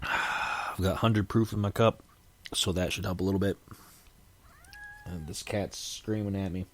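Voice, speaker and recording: Low-voiced man with a cold. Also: steady tone, unhurried, a bit bright. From United States. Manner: rambling, self-deprecating, frequent restarts. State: has a cold, sniffly and coughing. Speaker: podcast host. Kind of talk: casual solo podcast monologue.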